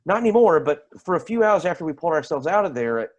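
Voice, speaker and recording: An actor playing a rattled, defensive man giving halting, emphatic testimony in a dramatic staged reading. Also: fairly high pitch, sing-song, highs cut off. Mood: uneasy. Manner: halting, emphatic, repeats himself. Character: rattled, defensive man testifying about an eerie deer encounter.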